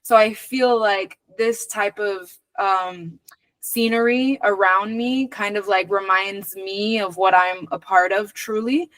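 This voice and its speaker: A woman from Venezuela who grew up in the United States, talking casually and reflectively as an interview guest, earnest and emphatic, pausing to choose her words. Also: mid-range voice, steady tone, a bit bright, no room sound, rough recording. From born in Venezuela, moved to the United States very young. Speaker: podcast guest.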